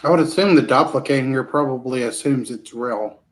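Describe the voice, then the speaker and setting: A man of ordinary pitch plays his turn in a tabletop game. He speaks hesitantly, often pausing to ask questions about the scene. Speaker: player.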